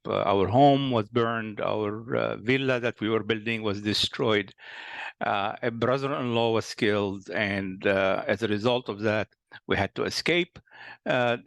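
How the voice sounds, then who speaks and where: A man's voice: fairly low pitch, creaky, fairly bright tone, no room sound. A Lebanese-accented man around sixty, a company founder telling his life story in a hesitant way, with many fillers and restarts. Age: about 60. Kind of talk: personal life story monologue.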